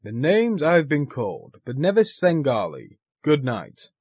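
A self-assured theatre director in a radio play. He is frank and brusque, with very lively pitch in a dry, close sound. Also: unhurried, breathy edge, no echo, full character voice. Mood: frank, guarded. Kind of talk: radio drama acting.

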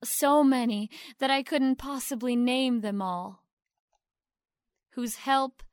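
A woman playing a poised young stage actress who speaks with formal, sweetly humble gratitude and deliberate pauses in an audio drama. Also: sits high, no echo, clean studio sound. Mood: grateful. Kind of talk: theatrical award acceptance speech in audio drama.

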